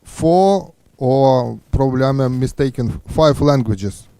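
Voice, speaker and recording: Halting male interviewer, mellow clear voice. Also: medium pitch, dry recording. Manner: halting, stumbling over words. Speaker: interviewer and host. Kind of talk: live interview chat. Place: indoor studio, seated on a sofa. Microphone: handheld microphone.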